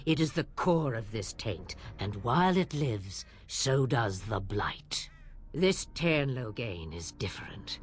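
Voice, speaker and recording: A woman's voice: a bass voice, big pitch swings, takes it slowly, a little hiss or hum, exaggerated voice. An actress playing a sardonic, weary and imperious old witch of the wilds in a fantasy game, with an aged, theatrical delivery. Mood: grave. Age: older. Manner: theatrical, wry, dismissive. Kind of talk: fantasy video game voice acting.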